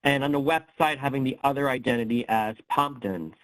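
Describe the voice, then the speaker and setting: A man's voice: medium pitch, a little fast, dry recording, rough recording. A man giving an online history lecture, explaining with frequent ums and self-corrections as he loses his voice. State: losing his voice. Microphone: computer mic on a video call.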